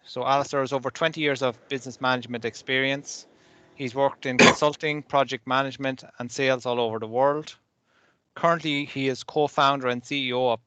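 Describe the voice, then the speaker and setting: A man's voice: medium pitch, pushed and bright, clean tone, dry recording. A man hosting a seminar, politely introducing the guest speaker over a video call. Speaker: seminar host.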